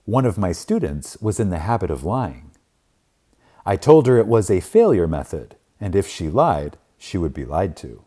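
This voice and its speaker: A man narrating a spiritual audiobook in a reverent, emphatic manner with deliberate dramatic pauses. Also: low-pitched, big pitch swings, dark tone.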